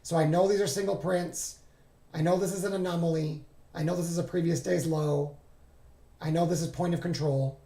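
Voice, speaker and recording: A man hosting a trading walkthrough who talks casually and thinks aloud, halting and correcting himself, and is losing his voice. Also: on the high side, fairly even intonation, warm tone, reverberant. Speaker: trading streamer. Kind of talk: casual screen-share walkthrough.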